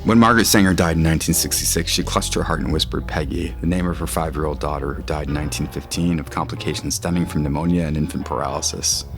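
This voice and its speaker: A man with a low voice reading scripted literary fiction as a narrator. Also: fast, few pauses. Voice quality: low-pitched. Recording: music under the voice. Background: guitar music.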